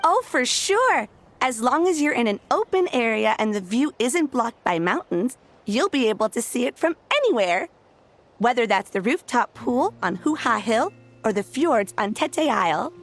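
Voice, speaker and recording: A woman's voice: on the high side, very animated, normal speed. A woman playing an eager, effusive young event staffer in a video game, gushing and earnest, with music behind.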